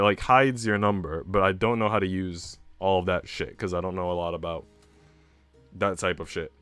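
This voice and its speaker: A young man with an American accent talks casually to his livestream. His voice is clear and resonant, on the low side, and his delivery is slangy and informal with some swearing. The sound is close and dry, with music playing behind him. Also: a bit bright, no echo. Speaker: livestreamer and host. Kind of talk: casual livestream chat with viewers. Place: indoor room at home.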